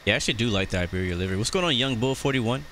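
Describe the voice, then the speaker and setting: A man's voice: medium pitch, racing. An American man casually commenting on a flight simulator live stream, chatting with viewers as he plans his descent. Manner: relaxed, chatty, greets chat viewers. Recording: noisy background.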